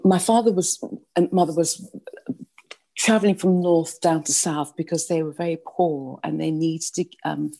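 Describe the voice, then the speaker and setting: Gravelly-voiced older English woman telling her story. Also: low-pitched, warm tone. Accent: English, London middle class. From Nigeria. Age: 60s. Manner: rambling, halting storyteller with many restarts and fillers. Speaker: guest. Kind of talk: casual interview, personal storytelling.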